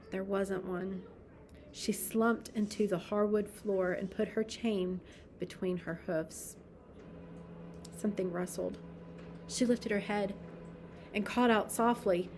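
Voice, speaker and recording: A woman reading a children's story aloud expressively, with deliberate, dramatic emphasis. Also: medium pitch, a little mellow, slight background noise. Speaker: storybook reader.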